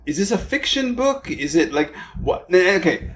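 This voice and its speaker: A male podcast host interviewing a guest, excitable and quick to jump in. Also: sits high, a little hiss or hum. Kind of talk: casual interview.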